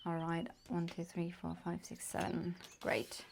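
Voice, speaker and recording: A woman from Germany with a German accent, giving a casual tarot card reading with gentle, unhurried talk and many fillers. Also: on the low side, monotone, gravelly, background noise. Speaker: tarot reader.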